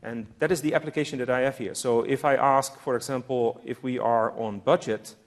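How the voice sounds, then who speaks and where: A man's voice: mid-range voice, steady tone, brisk. A man from the Netherlands with a Dutch accent gives a live technical demo, explaining his on-screen actions step by step. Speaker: software presenter.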